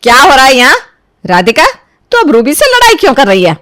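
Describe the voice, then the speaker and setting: A woman putting on a shrill, scolding older mother-in-law. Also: wildly swooping pitch, fairly quick, dry recording, clean studio sound, heavily acted. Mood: angry, accusing. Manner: nagging, accusatory, sharp questions.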